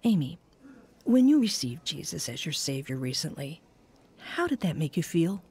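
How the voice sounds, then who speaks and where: A kind older lady giving gentle advice in a radio drama, soft mellow tone, lilting up and down. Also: deep voice, big pitch swings, moderate pace, slightly rough, heavily acted. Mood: gentle, encouraging. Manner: gentle, nurturing, counselling. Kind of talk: audio drama dialogue.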